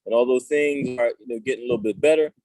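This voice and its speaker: A middle-aged man hosting a casual talk show over a video call, relaxed and chatty with lots of fillers. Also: medium pitch, fast talker, no echo. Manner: relaxed, conversational, many fillers. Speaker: show host.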